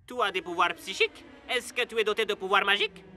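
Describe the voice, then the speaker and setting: A man's voice: sits high, fast talker, music in the background, natural voice. A man voicing a short, stern cartoon football master who commands and challenges theatrically. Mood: instructing. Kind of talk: cartoon dub acting.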